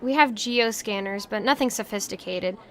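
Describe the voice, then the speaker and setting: A woman's voice: medium pitch, normal speed, close to an everyday voice. An actress playing a naive, polite young woman in an audio drama, hesitant and eager to ask questions. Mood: curious, uncertain. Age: young adult.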